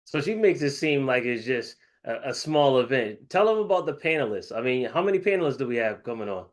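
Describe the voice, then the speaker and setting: A man's voice: mid-range voice, very animated, brisk. A man hosting an interview show, upbeat and promotional as he introduces his guest. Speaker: host.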